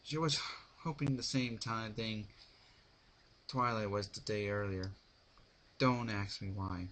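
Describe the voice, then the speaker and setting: A man narrating a story as an amateur dramatic reading, halting and sometimes stumbling over words. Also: lowish voice, steady tone, fairly bright tone. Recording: noisy amateur home recording.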